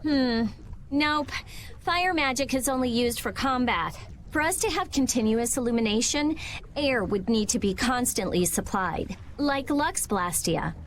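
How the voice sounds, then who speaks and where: A woman's voice: on the high side, lively intonation, a little slow, slight background noise. An American actress playing a brusque, brainy teenage girl mage in an anime game dub, curt and matter-of-fact.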